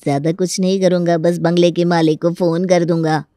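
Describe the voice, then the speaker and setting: A man's voice: a little high, level tone, brisk, warm tone, no echo, close to an everyday voice. A crafty young village man played by an actor in a comic cartoon story. He is folksy and mock-polite, yet stubborn and always ready to argue. The sound is dry and close. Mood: firm, threatening. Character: stubborn, crafty young rural male tenant with cattle. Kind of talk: Hindi comic story dramatization.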